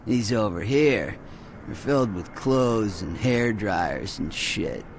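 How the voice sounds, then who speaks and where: An actor playing an easygoing, streetwise smuggler using casual slang in a video game cutscene. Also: male voice, lowish voice, animated, takes it slowly, gravelly, slight background noise, full character voice. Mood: casual, businesslike.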